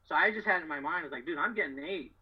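A middle-aged American man with a husky voice, telling stories as a podcast guest in casual, slangy, emphatic, boastful talk. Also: on the high side, rapid-fire, muffled recording. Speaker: podcast guest, door-to-door solar salesman. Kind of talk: casual, animated storytelling.

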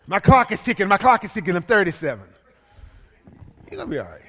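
A man's voice: high-pitched, sing-song, fast talker, sharp tone, exaggerated voice. A male pastor voicing an anxious aging woman who frets that time is running out. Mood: fretting.

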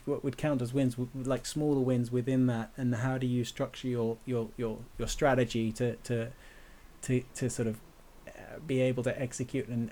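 A man's voice: mid-range voice, warm tone. A man hosting a podcast, chatting casually and often repeating words as he restarts his sentences. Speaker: podcast host. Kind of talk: casual conversation.

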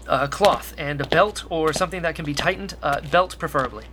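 A woman's voice: low voice, fairly quick, background noise, lightly acted. A woman playing an earnest, anxious young rebel agent and makeshift medic, speaking hesitantly in a sci-fi audio drama. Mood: flustered.

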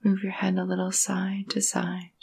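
A woman leading a guided meditation in a soft, breathy, husky voice, gentle and soothing, with long pauses between phrases. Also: mid-range voice, completely flat delivery. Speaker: yoga nidra meditation guide.

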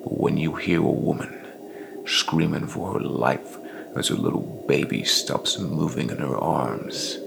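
An actor playing a grim, remorseless bounty hunter and war veteran in a dark fantasy audio drama, confessing in a breathy, half-whispered rasp with a brooding, folksy drawl. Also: male voice, rumbling low, unhurried, noisy background, full character voice. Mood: bitter, accusing.

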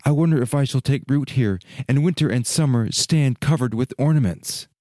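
A man's voice: medium pitch, moderate pace, dark tone, no room sound, clean studio sound, lightly acted. A man playing a young fir tree in a storybook, restless and yearning, speaking in earnest exclamations and questions. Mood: excited anticipation. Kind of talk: dramatic storybook reading.

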